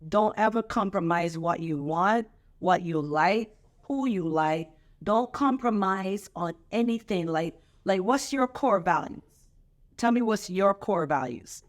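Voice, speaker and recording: Animated woman pastor giving advice. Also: medium pitch, a little slow. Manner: lively, coaching, repeats phrases for emphasis; preacherly scripture quoting. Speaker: pastor and show host. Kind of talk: casual advice chat.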